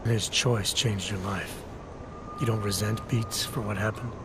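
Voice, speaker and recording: An actor playing a hardened, guarded male cyborg agent in a video game cutscene, speaking in low, clipped lines. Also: steady tone, measured pace, heavy background noise, close to an everyday voice. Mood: probing.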